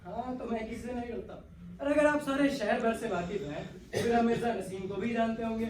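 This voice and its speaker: Man playing an earnest high-pitched young servant. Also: a little fast, crowd noise. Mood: indignant, defiant. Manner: pleading, emphatic, projected. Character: earnest lovestruck young servant, deferential yet defiant. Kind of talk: theatrical Hindustani stage comedy acting. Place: indoor stage, recorded from the audience. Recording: echoey hall, far-off mic, noisy.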